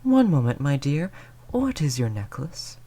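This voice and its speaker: A man's voice: fairly high pitch, sing-song, a little slow, mellow, exaggerated voice. A man playing a suave, sadistic vampire lord in an intimate audio roleplay, purring and teasing with courtly, menacing politeness. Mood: smug, courtly. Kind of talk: intimate scripted audio roleplay addressed to the listener.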